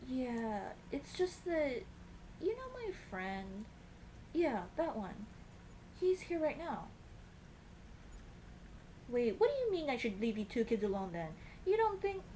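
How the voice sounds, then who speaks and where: A woman plays a tomboy childhood friend, young and smitten, in an intimate ASMR roleplay. Her voice sits fairly high and swings widely in pitch as she moves between playful teasing and bashful hesitation. The talk is casual and affectionate, over faint background noise. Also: sing-song, slow, long pauses, warm tone, full character voice, poor audio. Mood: embarrassed, fond. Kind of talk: ASMR roleplay acting, intimate girlfriend scene.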